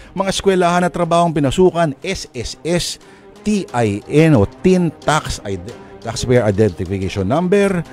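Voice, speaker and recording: A man introducing a radio drama as host, speaking emphatically with drawn-out pauses between phrases. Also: a little high, darkish tone. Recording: music under the voice. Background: faint music.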